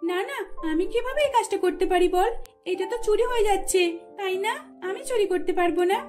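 A woman's voice: extremely high pitch, a little fast, darkish tone, faint music behind. A woman voicing a spoiled young rich daughter in a cartoon, whiny and wheedling. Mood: complaining. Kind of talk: cartoon dubbing.

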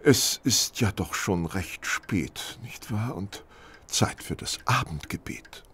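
An actor playing a mild, pious, frail vicar who speaks hesitantly and courteously in a radio play. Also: male voice, fairly low pitch, animated, slow pace, fairly bright tone, a little hiss or hum. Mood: mild, polite. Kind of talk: German radio play acting.

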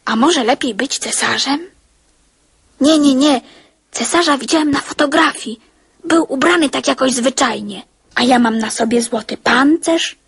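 A woman playing an imaginative, excitable little schoolboy in a radio drama, boastful and exclamatory. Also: high-pitched, exaggerated voice. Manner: boastful, exclamatory make-believe. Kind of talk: Polish radio drama acting.

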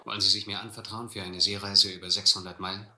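Earnest educated castaway in old radio play, lowish sharp voice, measured, roomy sound. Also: male voice, little pitch movement. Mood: urgent, concerned. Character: earnest, educated castaway man narrating his sea ordeal. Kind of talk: German radio play acting and narration.

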